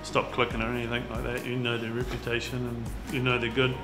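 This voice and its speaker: A young man with a New Zealand accent, chatting casually about a fishing trip, relaxed and full of fillers. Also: mid-range voice, a little fast. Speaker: fisherman. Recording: roomy sound with music behind.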